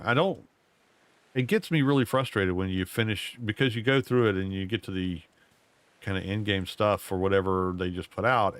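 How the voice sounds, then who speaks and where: A man, streaming a game and muttering to himself in a drawling, self-mocking way, his voice going hoarse. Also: low voice, no room sound. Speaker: gaming streamer. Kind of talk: casual gameplay commentary.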